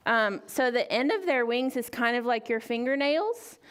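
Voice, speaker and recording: A woman giving an informal explanation to children as a guest speaker, in a casual way with frequent hesitant pauses. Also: fairly high pitch, expressive, fairly bright tone, clear, resonant tone. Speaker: guest chicken keeper and parent. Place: library story time room.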